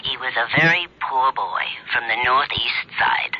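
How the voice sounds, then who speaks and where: A man's voice: mid-range voice, animated, moderate pace, crowd noise, lightly acted. A male actor plays a cagey newsman in a 1980s radio mystery. He talks drily and with measure, in a middling register. The recording is a little dull, like an old broadcast. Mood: matter-of-fact. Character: wry, cagey newsman who knows local histories. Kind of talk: 1980s radio drama acting.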